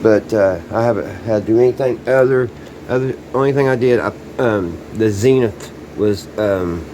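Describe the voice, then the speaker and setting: A man with a fairly low voice talks casually through a hands-on hobby demonstration. He is informal and folksy, thinking aloud as he works. A clearly audible ambient hum sits behind him on the camera's microphone. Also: moderate pace. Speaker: hobbyist showing restored vintage radios. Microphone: handheld camera, sometimes set down farther away. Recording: loud background hum and ambient noise.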